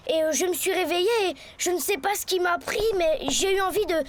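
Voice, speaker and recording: A woman's voice: extremely high pitch, a little fast, a little hiss or hum. A woman voicing a cheerful, earnest young schoolboy in a cartoon. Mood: cheerful, eager. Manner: earnest, eager. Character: cheerful, eager young schoolboy who believes he is an elf. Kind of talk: French animated series dub. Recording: music bed under the voice.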